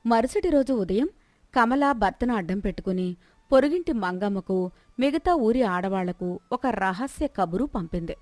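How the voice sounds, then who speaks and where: A woman's voice: mid-range voice, lively intonation, normal speed, a little mellow, no echo. A woman narrating a scripted moral story in the manner of a storyteller who also acts out the characters.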